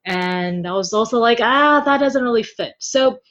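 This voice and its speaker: A woman being interviewed about her translation work, animated and expressive, laughing often as she tells stories. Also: a little high, normal speed. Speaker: guest translator. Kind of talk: casual interview chat. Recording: slightly dull lo-fi sound.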